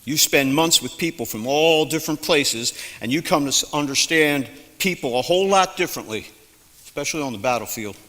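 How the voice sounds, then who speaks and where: Man as folksy middle-aged vet and shopkeeper, bright, fairly high voice, reverberant hall. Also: animated, a little fast. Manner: folksy, ranting, drawling. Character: 1962 Southern drugstore owner, war veteran, opinionated. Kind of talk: student stage play.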